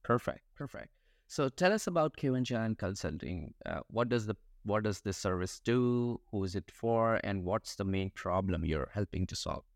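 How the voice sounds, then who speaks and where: A male podcast host who asks his guest brisk, upbeat questions. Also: lowish voice, animated, vocal fry, no room sound, studio quality. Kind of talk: podcast interview.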